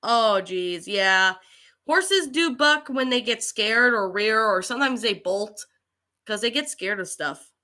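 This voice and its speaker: A woman with a cold, chatting casually as a livestream host and answering viewers in a halting way. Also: on the high side, animated, sharp tone. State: getting over a cold with allergies. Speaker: livestream host and creepypasta narrator.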